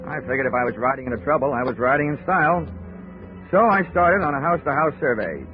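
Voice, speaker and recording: An actor playing a wisecracking, unflappable investigator in a clipped, wry 1940s radio drama style. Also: male voice, mid-range voice, expressive, fast, natural voice. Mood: wry, declaiming. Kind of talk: 1940s radio acting, detective narration. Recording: muffled old radio recording, music behind.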